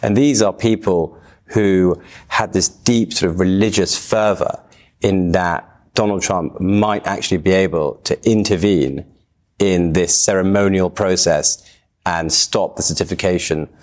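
A man's voice: low voice, fairly even intonation, unhurried, a little mellow. An English man, a documentary filmmaker, earnestly recounting his experiences in a TV interview, sometimes repeating words as he goes. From England. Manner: earnest, storytelling, with some stumbling repeats. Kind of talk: TV interview answers.

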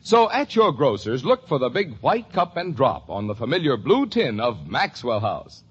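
A man's voice: mid-range voice, big pitch swings, normal speed, husky. A man reading a scripted commercial as a 1950s radio announcer. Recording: muffled old radio broadcast recording.

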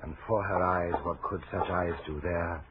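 An actor playing a courtly, poetic, eerily polite suitor with formal, hesitant speech in a 1940s radio drama. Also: male voice, deep voice, fairly even intonation, normal speed, lightly acted. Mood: dreamy, reciting. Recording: muffled, noisy old radio recording.

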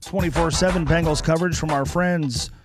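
A man hosting a sports radio show in a relaxed, casual way, with easy filler words. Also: a little high, brisk, music in the background. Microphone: studio microphone.

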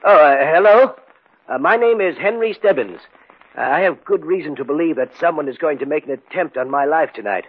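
An actor playing a nervous, hesitant, frightened householder who stammers, in a 1950s radio horror play. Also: male voice, on the high side, lively intonation, a little fast, breathy edge. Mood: anxious. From United States. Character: nervous, frightened householder guarding a cursed flask. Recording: thin, tinny old radio recording.

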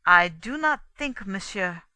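A woman voicing a male detective in an audiobook, formal and menacing, making deliberate, pointed, dramatic pronouncements. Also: mid-range voice, animated, bright, forceful tone, no room sound, voice pushed up, exaggerated voice. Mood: pointed, grave. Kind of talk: dramatic audiobook character dialogue.

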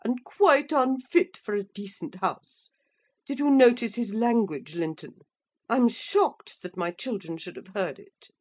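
A woman voicing a haughty, easily shocked elderly gentlewoman in an audiobook. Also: on the high side, animated, measured pace, pitched above the performer's own voice. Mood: disapproving. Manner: indignant, disapproving.

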